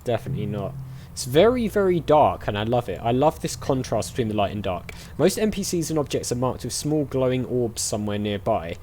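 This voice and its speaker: A man's voice: medium pitch, sing-song, a little fast, a little mellow, a little hiss or hum. A man hosting a gaming video, giving casual let's play commentary and reading dialogue options aloud.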